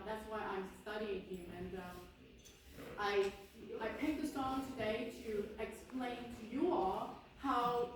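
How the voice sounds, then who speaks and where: Woman w/ chatting to a class, lots of restarts, picked up from far off in a really echoey room. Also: fairly high pitch. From Hong Kong. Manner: chatty, with frequent restarts and fillers. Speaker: guest speaker. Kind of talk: informal classroom talk. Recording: echoey room recorded from afar, noisy.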